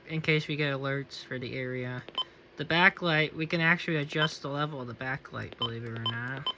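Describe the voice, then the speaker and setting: A man giving a casual, unscripted product review and demonstration. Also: on the high side. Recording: faint background noise.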